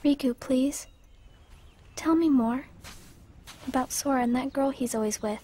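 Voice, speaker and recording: A sad gentle teen girl from a game, high n slow, kinda hesitant and earnest. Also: breathy edge. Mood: earnest, hopeful. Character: troubled, gentle teenage girl who feels like a puppet. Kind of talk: video game cutscene acting. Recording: music behind.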